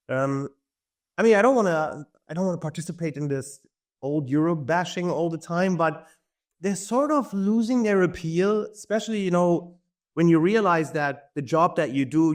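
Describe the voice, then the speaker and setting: A man, a podcast guest talking in an easygoing way with frequent chuckles and fillers. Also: on the high side. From Germany. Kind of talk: casual interview talk.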